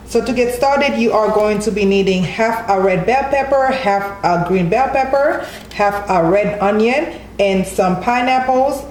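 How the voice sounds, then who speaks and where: A woman's voice: medium pitch, a little mellow, roomy sound, slight background noise. A woman hosting a home cooking tutorial, walking through each step in a friendly way and often adding "okay?" as she goes. She is from Haiti. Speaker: cooking channel host. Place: home kitchen.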